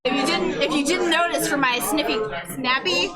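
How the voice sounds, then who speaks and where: A woman's voice: high-pitched, moderate pace. A woman casually introducing herself as a player at a live tabletop game. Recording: loud crowd, live convention stage.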